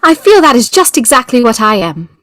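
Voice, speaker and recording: A woman's voice: on the high side, very animated, fairly quick, a bit bright, dry recording, clean studio sound. A woman playing a prim, sweet, motherly little girl in an audio play. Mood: flustered, delighted.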